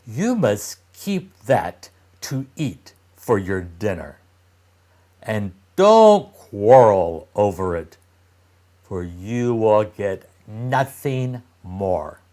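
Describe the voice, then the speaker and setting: A man's voice: lowish voice, very animated, very slow, mellow, heavily acted. A man voicing a cold, scolding stepmother in a storybook read-aloud, commanding and emphatic. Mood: bossy scolding.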